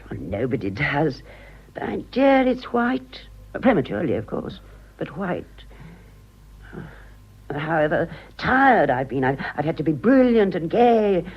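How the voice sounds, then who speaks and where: An actress playing a vain, self-pitying ageing romantic in a British radio drama, confiding dramatically and halting for effect. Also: female voice, low voice, sing-song, slightly rough, lo-fi sound, full character voice. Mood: confiding, pained. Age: older.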